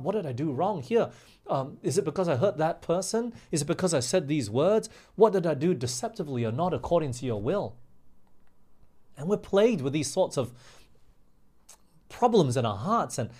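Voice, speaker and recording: A man's voice: fairly high pitch, darkish tone. Emphatic male preacher giving a sermon. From grew up overseas in England, Australia and the US. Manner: emphatic, slows down on key phrases, asks rhetorical questions.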